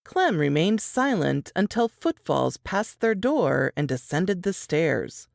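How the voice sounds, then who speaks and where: A woman's voice: fairly low pitch, very animated, slow pace, creaky, no echo, clean studio sound. A woman narrator reading a novel in a scripted storytelling style.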